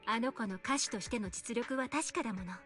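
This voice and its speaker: High, quick anime game woman, acted by a woman. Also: fairly bright tone, close to an everyday voice. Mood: composed, assured. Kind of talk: Japanese video game voice acting. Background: game music.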